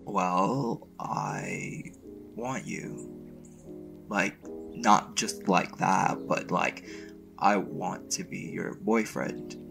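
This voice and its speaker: A man acting as a shy, smitten young college mage in an intimate boyfriend roleplay, speaking casually and haltingly. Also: low-pitched, fairly even intonation, creaky, over music, heavily acted. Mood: tender, earnest. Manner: hesitant, halting, casual slangy delivery.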